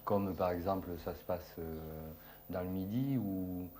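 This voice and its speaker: A man answering interview questions in a reflective, hesitant way, pausing often. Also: deep voice, darkish tone, slight background noise. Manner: hesitant, many pauses and fillers.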